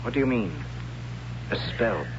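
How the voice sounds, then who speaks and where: A man's voice: mid-range voice, lively intonation, measured pace, natural voice. An actor playing a good-humoured, puzzled condemned man in a 1970s radio drama, with a wry, questioning manner. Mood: earnest. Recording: muffled, noisy 1970s radio recording.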